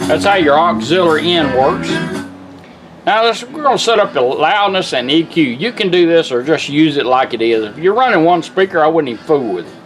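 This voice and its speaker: A man's voice: fairly high pitch, lively intonation, brisk, music in the background, poor audio. A man giving a casual, hands-on product demonstration, instructing step by step in a folksy, plain-spoken way. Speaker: radio restorer and demonstrator.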